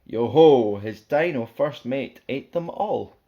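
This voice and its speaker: A man's voice: mid-range voice, lively intonation, slow, mellow, highs cut off. A man reading a rhyming children's picture book aloud as a storyteller, in a playful, theatrical, sing-song way.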